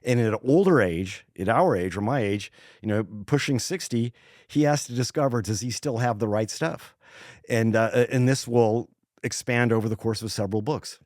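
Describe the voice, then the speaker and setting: A man in his sixties with a mid-pitched voice, speaking as an author being interviewed. He talks in a loose, thoughtful way, full of "you know" and restarts. The sound is close and dry, with no room around it. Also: moderate pace, a little mellow, no echo. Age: 60s. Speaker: guest author. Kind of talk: casual podcast interview.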